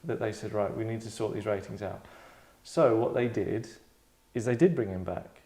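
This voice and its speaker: A man's voice: fairly low pitch, moderate pace, dark tone. A man hosting a table read, explaining things casually to a group in a sardonic, rambling, mock-dramatic way. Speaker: host directing an actors' table read. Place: London.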